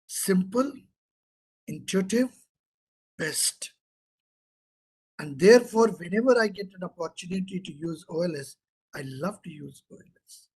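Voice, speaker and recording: A middle-aged man with an Indian English accent teaches an online class over a computer mic. His voice sits fairly high and a little husky, and he keeps quizzing students and repeating key terms. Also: measured pace, pauses often, a little mellow, low-quality recording. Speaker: professor lecturing online. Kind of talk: interactive online lecture.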